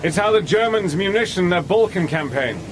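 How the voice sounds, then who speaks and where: An actor playing a resourceful, determined spy-adventurer in a radio drama, brisk and wry, also narrating in the first person. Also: male voice, high voice, lively intonation. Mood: explaining. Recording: radio drama with noise behind.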